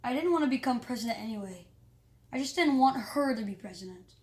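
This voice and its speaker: A high-pitched reader reading a children's story aloud in an animated but halting way, with small stumbles.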